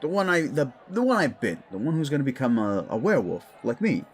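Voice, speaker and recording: A man's voice: mid-range voice, sing-song, quick pace, close to an everyday voice. A man voicing a scrawny, defensive werewolf bully who stammers, blusters and swears in an audio drama. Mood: flustered. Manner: flustered stammering, blustering, foul-mouthed. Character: Fenris, scrawny hot-headed werewolf bully, male, defensive. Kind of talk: scripted audio drama dialogue.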